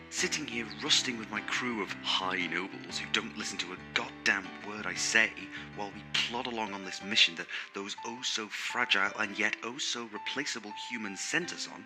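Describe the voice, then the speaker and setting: A man's voice: on the low side, close to an everyday voice. A man playing a vain, sarcastic, rambling robot crewmate in an audio drama, insecure under the swagger. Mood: bitter, scornful. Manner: theatrical, sarcastic, rambling monologue. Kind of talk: scripted audio drama monologue.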